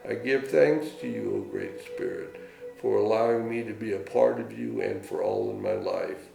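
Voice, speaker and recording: Gravelly-voiced man, solemn and measured. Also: on the low side, huge pitch swings, mellow. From United States. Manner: slow, solemn prayer reading. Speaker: guest, writer and elder spokesman. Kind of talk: storytelling, then reading a prayer aloud. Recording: echoey room with music behind.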